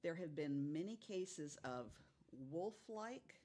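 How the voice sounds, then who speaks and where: A woman's voice: low-pitched, expressive, slow, mellow, slight background noise. A woman telling stories of unexplained cases in a casual way, as a guest on a podcast.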